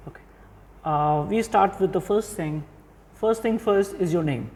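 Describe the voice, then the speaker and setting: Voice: mid-range voice, a little slow, darkish tone. Podcast host asking questions, lots of okays, roomy echo. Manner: attentive, frequent okays and short affirmations. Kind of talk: conversational interview questions.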